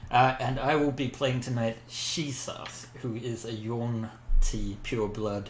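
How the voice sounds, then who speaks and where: A man's voice: mid-range voice, flat delivery, measured pace. Australian male player, easygoing, roomy echo. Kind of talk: casual chat. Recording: echoey room with faint noise.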